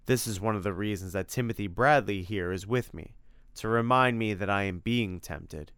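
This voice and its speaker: A man's voice: fairly low pitch, moderate pace, a bit bright, clean tone, dry recording, studio quality. A man voicing a grave, hot-tempered male monster hunter with stiff, old-fashioned formal phrasing. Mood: solemn.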